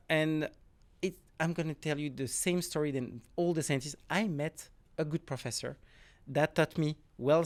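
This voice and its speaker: A man's voice: on the high side, smooth. Middle-aged man with a French accent. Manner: explanatory, loose non-native phrasing with restarts. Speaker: marine ecology professor, podcast guest. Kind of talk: podcast interview.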